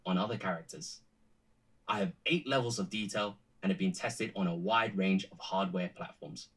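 A composed male digital human delivers scripted tech demo narration. His voice is lowish and distinctly husky, with a measured, technical delivery. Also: fairly bright tone, low-quality recording.

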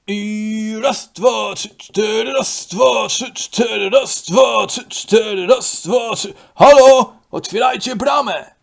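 Man doing a bossy shouting sports coach. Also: high-pitched, takes it slowly, gravelly, few pauses, full character voice. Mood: drilling. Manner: shouts counts, barks orders. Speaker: coach figure in a homemade cartoon dub. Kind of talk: amateur cartoon voice acting.

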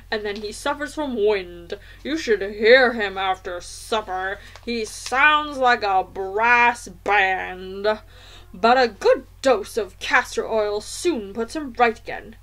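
A woman voicing a blustering, pompous grown man, loud and indignant, in a storybook reading. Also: a little high, lively intonation, takes it slowly. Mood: scornful, dismissive.

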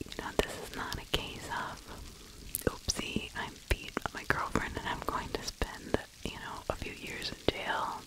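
Middle-aged female ASMR narrator, whispered throughout, unhurried, halting, close-miked. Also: poor audio. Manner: hushed, halting delivery with pauses. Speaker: ASMR true crime narrator. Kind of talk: whispered ASMR true crime commentary. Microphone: close microphone with fluffy windscreen.

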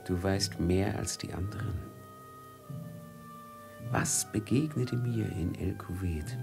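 An actor playing a proud, anxious captive merchant in a 1950s radio drama, speaking gravely and earnestly. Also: male voice, deep voice, slow, over music, heavily acted. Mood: grave, brooding.

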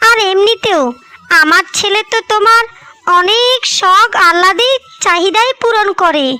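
Worried older mother-in-law, high-pitched woman acting. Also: unhurried, bright, forceful tone, dry recording, soft background music, full character voice. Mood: worried, pleading. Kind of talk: Bengali moral-story cartoon dubbing.

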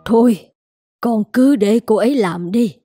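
A woman's voice: a little high, unhurried, dark tone, breathy edge, no room sound, studio quality, faint music behind. A woman voicing a kindly, insistent elderly grandmother in a cartoon, advising and reassuring. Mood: pleased, advising. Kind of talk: cartoon dubbing.

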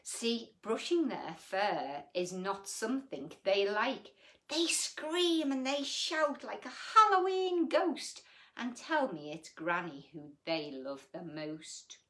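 Woman playing tired picture-book mum, put-upon, rhyming delivery, slow pace, animated intonation. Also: mid-range voice. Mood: exasperated. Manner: measured, expressive, rhythmic. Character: tired, put-upon mother of unruly monster children.

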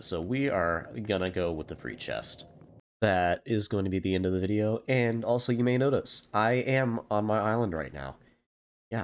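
A man with a cold, sounding congested, giving rambling, wry and self-deprecating commentary over his own gameplay as a channel host. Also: sits low.

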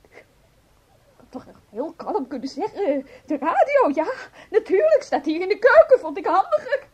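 Overwrought woman, very high and swooping. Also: sing-song, moderate pace, darkish tone, highs cut off. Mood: shaken, bitter. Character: furious, wounded woman throwing her husband out. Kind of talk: radio drama acting.

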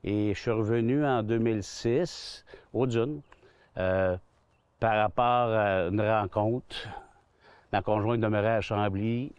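A man's voice: lowish voice, takes it slowly, darkish tone, smooth, no echo. A man in his sixties with a Québécois French accent, a golf club manager chatting in an outdoor interview, rambling with many restarts and fillers. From Quebec. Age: 60s. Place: outdoors on a golf course under trees.

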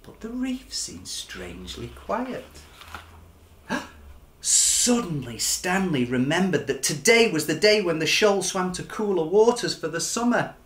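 A man, reading a children's storybook aloud with dramatic, animated expression. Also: high voice, measured pace, reverberant. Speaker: children's author reading his own book. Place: furnished living room, indoors.

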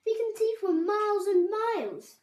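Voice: very high-pitched, huge pitch swings, slow pace, fairly bright tone, roomy sound, voice pushed up, exaggerated voice. A young reader voicing a playful, cheery little mouse in a storybook, calling out and coaxing. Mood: excited.

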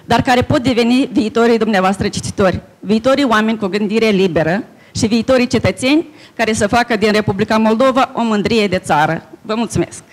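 A middle-aged woman addresses a book fair audience in a measured, earnest oratorical tone. She speaks into a handheld microphone in a conference hall. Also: on the high side, slight background noise. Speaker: official speaker. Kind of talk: formal public speech.

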